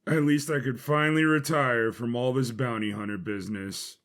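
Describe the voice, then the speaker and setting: A man voicing a world-weary, blunt bounty hunter with a deadpan manner in an audio story. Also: mid-range voice, normal speed, natural voice. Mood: weary, irritated.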